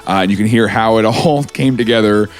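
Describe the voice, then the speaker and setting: A man co-hosts a podcast, his voice a little rough. He talks in a casual, wry way and signs off the show. Faint music plays behind him. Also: fairly low pitch, a little fast. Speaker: podcast co-host. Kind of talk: casual chat and show sign-off.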